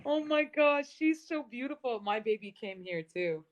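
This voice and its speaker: A woman's voice: on the high side, lively intonation, normal speed, muffled recording. A woman interviewing in a casual live-stream chat, enthusiastic and laughing often.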